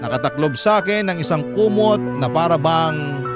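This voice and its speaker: Guy reading a love letter on radio, highish voice, sentimental, dramatic pauses, loud music behind. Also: lively intonation. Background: prominent music.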